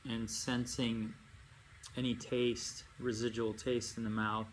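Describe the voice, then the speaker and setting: A man leading a guided meditation as a yoga nidra teacher, speaking in unhurried phrases with long pauses and gentle instruction. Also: mid-range voice, little pitch movement. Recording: amateur recording with faint background noise.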